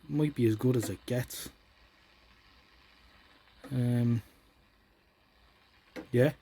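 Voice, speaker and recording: An Irish man giving casual, informal commentary as he does hands-on repair work, muttering to himself in an unhurried way. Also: medium pitch, warm tone, breathy edge, poor audio. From Ireland. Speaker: presenter of a vintage radio repair channel.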